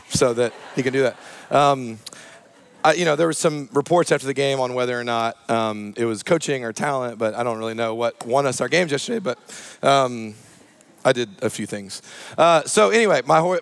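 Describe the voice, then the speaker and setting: Hoarse man preaching with a dry close sound. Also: mid-range voice, no room sound. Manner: chatty and self-deprecating, many ums and uhs. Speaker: pastor. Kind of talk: sermon. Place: church service.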